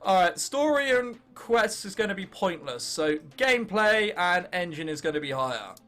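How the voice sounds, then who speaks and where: High-pitched, animated young English man. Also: normal speed, faint music behind. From England. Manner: irreverent, sarcastic joking and muttering to the game. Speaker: gaming video host. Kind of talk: casual gameplay commentary.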